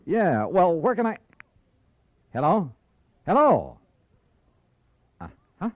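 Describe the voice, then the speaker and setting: An actor playing a breezy, flirtatious, impatient investigator in a 1950s radio detective show. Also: male voice, high voice, wildly swooping pitch, slow pace, dry recording, full character voice. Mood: eager, impatient. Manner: breezy, flustered, conversational. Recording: dull vintage radio sound.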